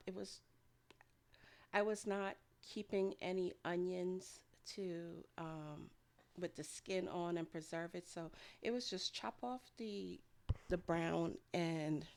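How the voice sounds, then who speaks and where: A woman with a fairly low voice and a good deal of gravelly fry in it. She talks through kitchen work as a home vlogger, in a casual and rambling voice-over. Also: a little mellow. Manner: rambling, chuckles often. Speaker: home food and garden vlogger.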